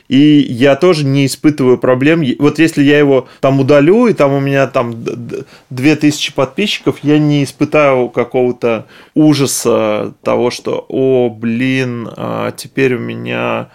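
A man's voice: mid-range voice, moderate pace, gravelly, few pauses, darkish tone, smooth. A man speaking casually as a company owner being interviewed, thinking aloud in a meandering, informal, slangy way. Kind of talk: casual conversational discussion.

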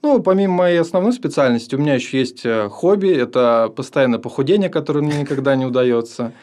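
A man's voice: mid-range voice, quick pace, darkish tone. A man of almost thirty, a podcast guest, talking earnestly about himself with many hesitations. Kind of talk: casual interview.

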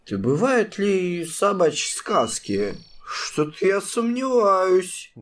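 A woman voicing a drowsy, sly male cat, talking in a slow drawl with teasing squeaky rises, in a children's audio drama. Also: big pitch swings.

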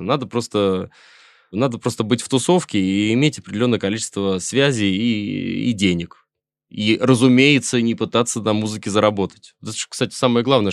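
A middle-aged man with a Russian accent, chatting as a musician guest in a relaxed interview. His voice sits low, and he rambles wryly, pausing on fillers and restarting his sentences. The sound is close and dry, with no room around it. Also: gravelly, no echo. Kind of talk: casual interview chat.

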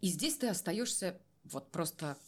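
Female interview guest, low register. Candid, reflective personal storyteller who leans on key phrases. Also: lively intonation, measured pace. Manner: reflective, emphatic, repeats phrases for weight. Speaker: interview guest, voice artist and former TV and radio presenter.

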